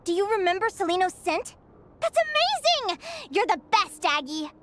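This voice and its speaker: A woman voicing an earnest, brave young Fae girl in an anime video game, expressive and determined. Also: extremely high pitch, moderate pace, a little hiss or hum, full character voice. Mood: excited, resolved.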